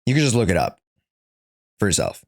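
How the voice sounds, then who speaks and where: A man's voice: fairly low pitch, huge pitch swings, a little fast, vocal fry. A man livestreaming a casual, slangy reaction to a game, joking and rambling as he goes.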